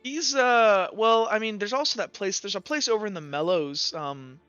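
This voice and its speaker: A man's voice: high voice, normal speed, a bit bright, clean tone, no echo, highs cut off. A man voicing a brusque but helpful woman shopkeeper in a tabletop game, with hesitant, informal phrasing. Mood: helpful, confiding.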